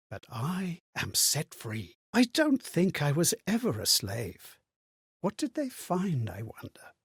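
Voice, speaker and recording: An actor playing a haunted, bewildered man in a video game, recounting strange memories in a theatrical, halting way with a husky, breathy voice. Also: fairly high pitch, no echo, studio quality, full character voice. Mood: tense, hushed, then bewildered, musing. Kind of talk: dramatic game character monologue.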